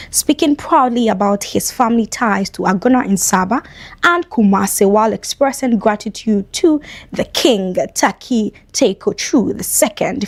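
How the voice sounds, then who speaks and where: A woman from Ghana presents entertainment headlines on a breakfast show. She is chatty, adds her own opinions and speaks straight to the fans. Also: mid-range voice. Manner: chatty, addresses fans directly. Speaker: entertainment news host.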